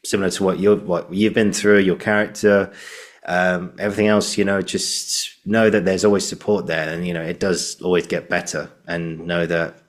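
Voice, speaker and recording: A man with a deep voice hosts a casual interview. He is supportive and rambling, often halting and doubling back on his words as he works toward his point. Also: flat delivery. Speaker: podcast host, interviewer.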